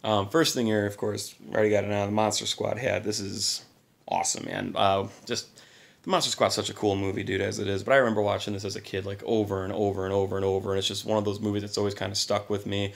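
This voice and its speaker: A man talks through his finds in a casual vlog, his voice hoarse and gravelly. He sits a little low in pitch and speaks with eager enthusiasm, yet he keeps it fairly quiet. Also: little pitch movement, fast. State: hoarse from singing and little sleep. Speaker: channel host.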